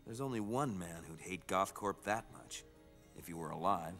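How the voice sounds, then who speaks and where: A callous animated corporate boss. He is slick and self-important, his lowish voice swinging widely in pitch, with faint music underneath. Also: moderate pace, heavily acted. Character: smug, callous, glad-handing male corporate boss. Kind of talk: animated series drama acting.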